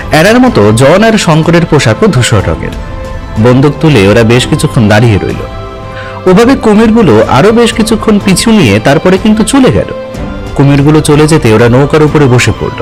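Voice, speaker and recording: A man narrating adventure fiction as a dramatic, scripted audio story. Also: mid-range voice.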